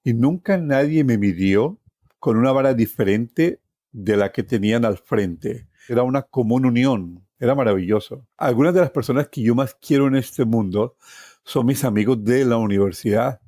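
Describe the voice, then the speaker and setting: A man's voice: mid-range voice, moderate pace, warm tone, no room sound, studio quality. A man in his sixties with a Colombian accent tells a personal story conversationally, repeating phrases for emphasis and tagging them with questions. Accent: Colombian Spanish. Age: 60s. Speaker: storytelling guest journalist. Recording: music behind the voice. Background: plucked guitar music.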